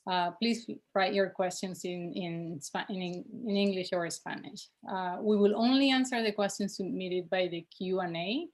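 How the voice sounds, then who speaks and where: A woman's voice: fairly low pitch, takes it slowly, few pauses. A woman from Venezuela with a Venezuelan accent, a nonprofit director hosting a webinar and moving between Spanish and English with frequent fillers. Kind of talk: bilingual webinar introduction.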